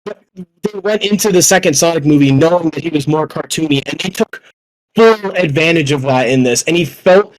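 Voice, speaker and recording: A young man with a high voice talks film in a casual podcast setting. He is excitable and effusive, and he peppers his opinions with swearing. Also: moderate pace, rough recording. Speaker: podcast guest.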